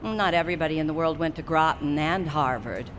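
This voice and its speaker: An actress playing a wry, teasing middle-aged woman in a stage comedy, speaking deliberately. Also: low-pitched, a little hiss or hum, close to an everyday voice. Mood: teasing, guarded. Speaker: actor in an audio play.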